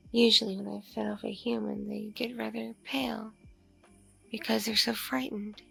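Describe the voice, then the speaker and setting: A woman voicing a seductive, doting mature vampire in an intimate ASMR roleplay, husky and murmuring, slipping into whispers. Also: a little high, fairly bright tone, pitched below the performer's own voice, full character voice, low-quality recording. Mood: teasing, seductive. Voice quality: husky, creaky.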